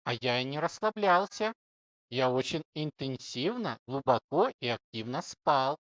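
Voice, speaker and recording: A man's voice: medium pitch, very animated, a little slow, a bit bright, dry recording. Man as a wry cartoon father pig, dry sound. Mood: sheepish. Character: lazy, joking, grumbling father pig of a cartoon family. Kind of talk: children's cartoon story acting.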